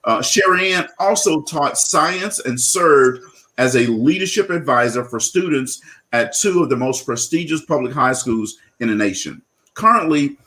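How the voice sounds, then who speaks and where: A man's voice: medium pitch, fairly bright tone. A man hosting a leadership interview show, introducing his guest with frequent fillers and restarts. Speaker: show host.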